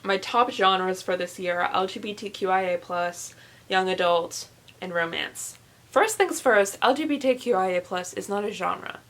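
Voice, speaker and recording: Late-teen female book vlogger, mid-range voice, casual and rambling delivery with animated, self-mocking asides. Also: mid-range voice, normal speed. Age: young adult, late teens. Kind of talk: casual chat to camera.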